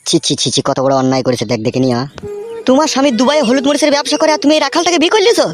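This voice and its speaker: A man's voice: very high-pitched, huge pitch swings, very fast, full character voice. A man playing a vain, flirtatious party henchman in a comic cartoon, nosy and preachy. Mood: scolding. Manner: nosy, preachy, smooth-talking. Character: meddling, flirtatious party henchman, vain bachelor of thirty-three. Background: background music.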